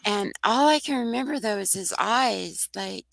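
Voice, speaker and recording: A soft-voiced woman, a guest on a talk radio show, telling a personal story in an earnest, rambling way. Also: mid-range voice, lively intonation, normal speed, vocal fry, no room sound. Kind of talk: casual storytelling.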